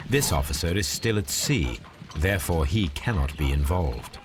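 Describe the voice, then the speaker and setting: An actor playing a keen, confident middle-aged British gentleman detective in a video game, speaking formally and precisely. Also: low-pitched, lively intonation, moderate pace, heavy background noise. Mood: analytical. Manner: precise, formal, articulate, self-assured. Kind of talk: video game cutscene acting, deductive monologue.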